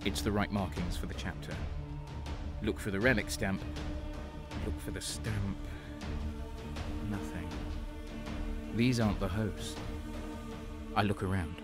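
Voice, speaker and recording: A man's voice: deep voice, steady tone, measured pace, long pauses. A man playing a renegade guardsman who narrates his own battles in a first-person audio drama. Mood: grim, tense. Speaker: narrator and main character. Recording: music behind the voice. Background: dramatic music.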